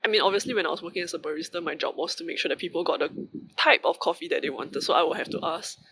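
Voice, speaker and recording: Bright-voiced Singaporean man musing aloud. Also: on the high side, racing, gravelly, a little hiss or hum. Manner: rambling, many fillers and asides. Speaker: vlogger. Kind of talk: casual reflective monologue.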